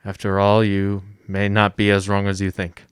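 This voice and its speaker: A man playing a calm, dignified, forgiving mayor in a period audio drama, speaking in a measured, restrained way. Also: fairly low pitch, smooth, dry recording, clean studio sound, lightly acted. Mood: grave, forgiving. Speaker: actor. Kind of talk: radio-style period drama acting.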